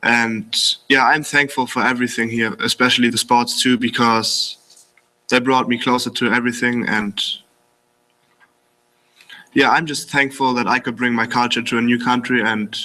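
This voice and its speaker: German teen boy, bright flat voice, short plain thank-you. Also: mid-range voice, moderate pace, recorded from a distance, rough recording. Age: teens. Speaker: exchange student. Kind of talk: thank-you speech at a public meeting.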